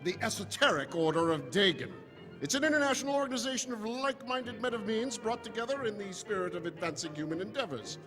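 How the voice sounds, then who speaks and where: Man playing a pompous, high-voiced society gentleman. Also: expressive, fast. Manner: pompous, obsequious. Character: fussy, self-important wealthy 1920s Boston gentleman. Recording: reverberant hall, music behind.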